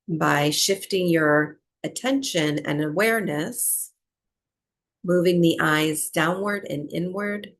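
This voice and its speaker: A woman teaching breathwork, guiding a meditation in short, unhurried phrases with long pauses between them. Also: deep voice, vocal fry. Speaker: breathwork and yoga nidra teacher. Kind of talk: guided meditation, slow soothing instruction.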